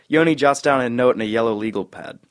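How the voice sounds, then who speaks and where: A man's voice: medium pitch, fast talker. A man narrating scene directions from a script at a comedy table read.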